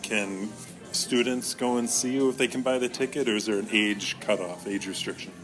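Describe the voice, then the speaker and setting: A man's voice: on the low side, normal speed, over music. A man hosting a casual interview in a conversational style, putting questions to a guest. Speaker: school podcast host and interviewer.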